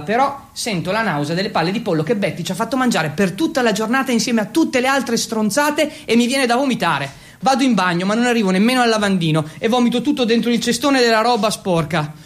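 A man's voice: high-pitched, a bit bright. A man with an Italian accent playing an awkward, feverish teenage boy in a theatrical first-person monologue. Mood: queasy, tense. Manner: halting and fumbling when speaking English.

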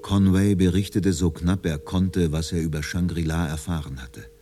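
A man acts as the storyteller of a radio play, reading in a low voice over clear music. The delivery is sober, composed and measured, like scripted literary narration. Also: steady tone, dark tone, natural voice.

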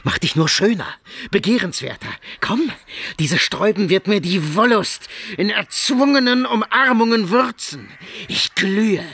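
A lustful, gloating nobleman villain with a fairly high voice. He speaks very slowly and deliberately, mocking and threatening, in classical radio drama style. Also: lively intonation, sharp tone, breathy edge, dry recording, exaggerated voice. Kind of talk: German radio drama acting.

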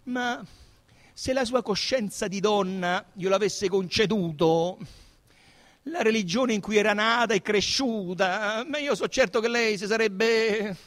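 A man playing an agitated young male murder suspect on stage, pleading and confessing in halting, insistent, self-justifying bursts. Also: sits high, fairly bright tone, voice pushed up. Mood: impassioned pleading. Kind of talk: theatrical dramatic monologue. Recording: reverberant hall.

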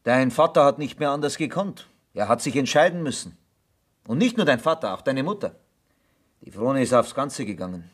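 A man's voice: medium pitch, big pitch swings, normal speed, slightly rough. An actor playing a matter-of-fact countryman with a Bavarian accent in a German radio play. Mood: explaining.

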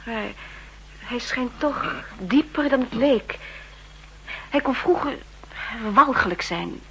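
An actress playing a soft-hearted, cautious young woman at a spy bureau in a 1960s radio drama. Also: sits high, expressive, slow, a little hiss or hum, heavily acted, rough recording. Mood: thoughtful, concerned.

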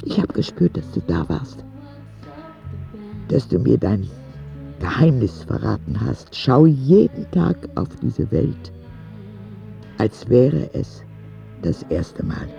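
A man's voice: lowish voice, creaky, lo-fi sound, full character voice. A man playing a dying ten-year-old boy in a radio drama, reading candid, wondering letters to God in an intimate, reflective way. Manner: slow, reflective, with expressive swings.

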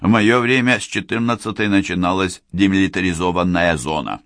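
A man's voice: low-pitched, a little fast, a bit bright, no echo. A man playing a dry, sardonic criminalist. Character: sardonic middle-aged male criminalist, dry and probing.

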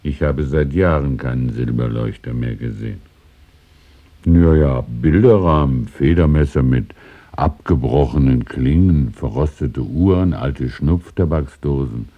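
An actor playing a courteous, wistful old antique shopkeeper in a radio drama, speaking haltingly and confidingly. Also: male voice, rumbling low, narrow, tinny audio, heavily acted. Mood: wistful, polite. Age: older. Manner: halting, polite, confiding, chuckling old-man speech. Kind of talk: 1970s German radio drama acting.

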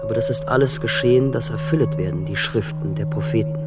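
Low-voiced solemn Jesus played by a man, music. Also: fast talker, close to an everyday voice. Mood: reproachful, rising. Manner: solemn, declamatory. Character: Jesus, grave man reproaching and praying in a passion play. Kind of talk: German radio drama acting of scripture. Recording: heavy music behind the voice.

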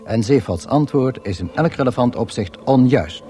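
An actor playing the dryly reassuring narrator of a space radio play, with wry formality. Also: male voice, medium pitch, expressive, normal speed, warm tone, slight background noise, close to an everyday voice. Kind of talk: scripted narration. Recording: music behind the narration.

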